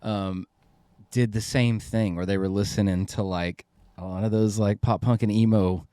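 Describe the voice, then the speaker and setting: A man co-hosting a podcast, speaking in a relaxed, thoughtful way. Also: lowish voice, moderate pace, clear, resonant tone, dry recording. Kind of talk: casual conversation.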